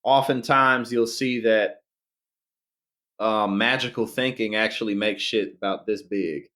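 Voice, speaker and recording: A man's voice: fairly low pitch, unhurried, bright, forceful tone. A man co-hosting a podcast, talking things through in a thoughtful, musing way. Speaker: podcast co-host. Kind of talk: casual podcast chat.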